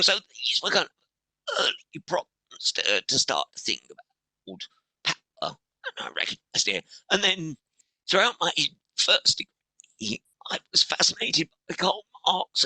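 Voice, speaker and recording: A middle-aged man, a podcast guest, talking with a strained, hoarse voice in halting phrases that break off and restart. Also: on the high side, sharp tone, no echo, low-quality recording. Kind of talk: interview conversation.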